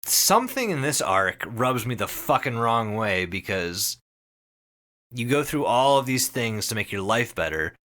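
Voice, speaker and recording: A man's voice: mid-range voice, very animated, a little slow, pushed and bright. A man hosting a podcast, retelling and discussing a story in casual, profane, emphatic talk. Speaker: podcast host.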